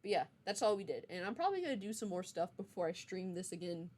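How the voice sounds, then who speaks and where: A woman's voice: on the low side, lively intonation, fast, a little mellow. A young adult woman streamer chatting casually with her viewers in a playful, teasing, mock-stern way. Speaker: streamer, game writer. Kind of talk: casual livestream chat.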